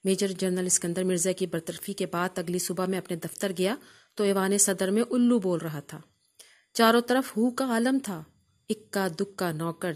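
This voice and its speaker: A woman with a Pakistani accent narrates a literary memoir in a measured, formal storytelling manner. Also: lowish voice. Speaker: audiobook narrator reading a memoir.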